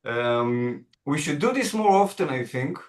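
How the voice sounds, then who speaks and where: A man co-hosting an online panel discussion, measured and thoughtful, pausing and restarting as he works through his points. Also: on the high side, expressive, roomy sound. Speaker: founder of a Middle East center, co-host. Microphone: video call.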